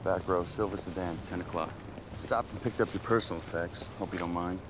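A man's voice: low-pitched, fairly even intonation, brisk, close to an everyday voice. An actor playing a cool, unflappable man in a heist film, with a dry, matter-of-fact manner. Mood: dry, relaxed. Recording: film audio with clear background noise.

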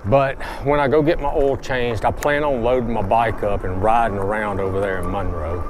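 A man's voice: lowish voice, fairly even intonation, moderate pace. A middle-aged man chatting casually while riding a bike outdoors on a road, thinking aloud with fillers and restarts. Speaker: vlogger. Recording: loud road and wind noise outdoors.

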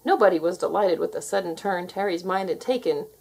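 A woman reading a children's story aloud in an expressive, scripted narrating style. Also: lowish voice, brisk, creaky, breathy edge, poor audio. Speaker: storybook reader.

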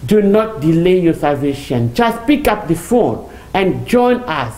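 A woman giving an animated, fervent church testimony as an interview guest. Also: lowish voice, slow, reverberant. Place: TV studio set.

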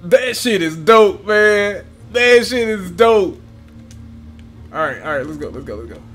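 A young man reacting to gameplay from a home room, excitable and swearing freely as he talks to his viewers. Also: high voice, measured pace, faint music behind. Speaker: gaming YouTuber. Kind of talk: casual gameplay reaction commentary. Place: home room with gaming chair.

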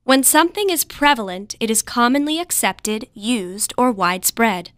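A woman reading scripted vocabulary material for learners in a measured, instructional way. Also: fairly high pitch, expressive, a bit bright, no echo, studio quality. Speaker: vocabulary narrator. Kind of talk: scripted word definitions for learners.

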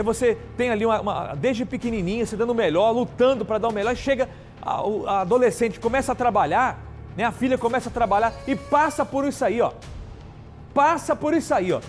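A man from anchoring a crime news show, talking emphatically and indignantly straight to viewers. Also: very high voice. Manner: indignant, repeats and addresses viewers directly. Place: TV studio.

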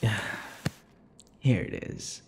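A man playing a possessive, teasing vampire lover in an ASMR audio roleplay, smug and menacing, murmuring and slipping into breathy whispers. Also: darkish tone, in a large hall, a little hiss or hum, natural voice. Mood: doting, possessive. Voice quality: low, gravelly. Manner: slow, breathy murmurs dropping into whispers, mocking endearments.

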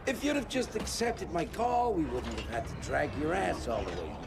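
A smug, menacing interrogator in a cyberpunk game. His gravelly voice runs a little high and rises and falls theatrically. He taunts with false politeness over background noise. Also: huge pitch swings, moderate pace, heavily acted. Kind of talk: video game cutscene acting. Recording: clear noise behind the voice.

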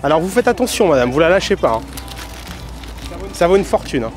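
A man's voice: high voice, big pitch swings, brisk. A young man hosting joking street interviews outdoors, teasing people with absurd questions in a casual tone. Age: young adult. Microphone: handheld interview microphone. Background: music, street sound.

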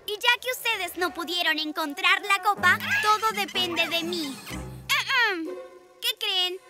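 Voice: extremely high pitch, takes it slowly. An actor voicing an earnest, bossy cartoon child with a Latin American Spanish accent. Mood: commanding. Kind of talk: animated cartoon dub acting. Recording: loud music behind.

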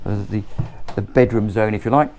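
A man's voice: fairly low pitch, brisk, a little mellow, a little hiss or hum. Chatty man touring a boat. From England. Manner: chatty, enthusiastic, loosely ad-libbed. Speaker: boat tour presenter. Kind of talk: walkthrough tour narration. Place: on board a motor boat at a marina, moving between cabins and decks.

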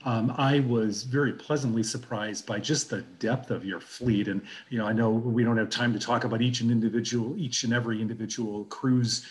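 A man's voice: fairly low pitch, poor audio. Enthusiastic middle-aged male host. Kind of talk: upbeat interview hosting. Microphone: video call.

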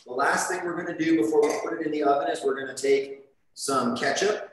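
A man cooking on a studio kitchen set, explaining each step in a relaxed, chatty way. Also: fairly high pitch, fairly quick. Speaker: chef demonstrating a recipe. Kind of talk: live cooking demonstration. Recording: echoey kitchen with light background noise.

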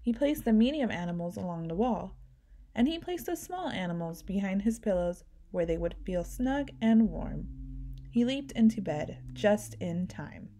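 A woman reading a children's picture book aloud, narrating gently and giving the characters lively voices. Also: medium pitch, normal speed, slight background noise. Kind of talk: read-aloud storytelling.

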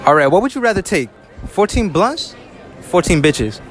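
A man's voice: high-pitched, very animated, normal speed, some chatter behind. A young man from New hosting a casual street interview in a shopping mall, talking into a handheld mic in upbeat slang and repeating "all right" a lot. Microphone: handheld mic held to speakers.

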